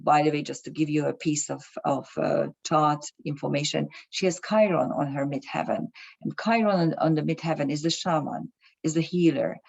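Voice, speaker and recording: A woman's voice: sits low, normal speed, gravelly, darkish tone, dull audio. A woman, an astrologer explaining ideas to her viewers in a hesitant way, with many fillers and restarts. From Germany. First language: Hungarian. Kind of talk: casual talk to camera.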